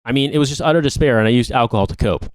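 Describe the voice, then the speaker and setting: A man's voice: mid-range voice, racing, no room sound. A man co-hosting a podcast, reading a long article aloud. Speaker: podcast co-host.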